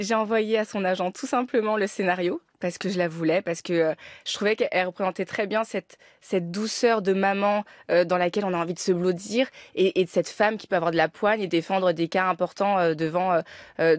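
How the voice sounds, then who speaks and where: A woman's voice: medium pitch, steady tone, brisk, dry recording. A woman in her thirties, a film director guest on a radio interview, talking with many restarts and fillers into a studio mic. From France. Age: 30s. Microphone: studio mic on arm.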